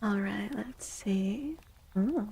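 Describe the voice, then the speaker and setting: A woman hosting a soft-spoken ASMR tarot stream, talking gently and breathily, often near a whisper, with drawn-out delivery. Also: medium pitch, gravelly, breathy edge. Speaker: livestream host giving tarot readings.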